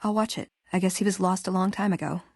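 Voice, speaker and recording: A woman's voice: medium pitch, fairly even intonation, a little mellow, husky, no room sound, studio quality. An actress playing a witty, eager young woman scribe and scavenger in a video game, with a wry, chatty manner. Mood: subdued, wistful. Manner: wry, chatty, quick to joke. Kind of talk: video game character dialogue.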